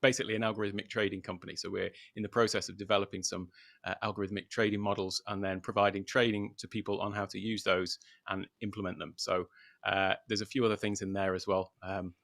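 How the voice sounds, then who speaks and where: A man's voice: on the low side, flat delivery, fast, creaky, fairly bright tone, no room sound, studio quality. A British man from the UK, a guest talking loosely and unscripted over a video call, with many filler words. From United Kingdom. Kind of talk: casual livestream interview. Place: at home in Thailand.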